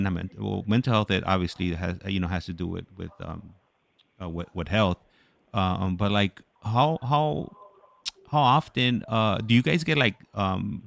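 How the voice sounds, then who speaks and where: An American man with a deep voice and a creaky, gravelly edge. He hosts in a casual style, putting his questions together haltingly with hesitant restarts. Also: a bit bright. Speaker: host interviewing a caller. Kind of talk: casual live interview.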